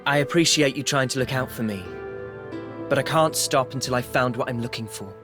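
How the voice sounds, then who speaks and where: Guy voicing friendly young wanderer in a game, earnest n casual, normal pitch, music behind him. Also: brisk, close to an everyday voice. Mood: earnest, resolute. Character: easygoing, determined young human male wanderer. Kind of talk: video game cutscene acting.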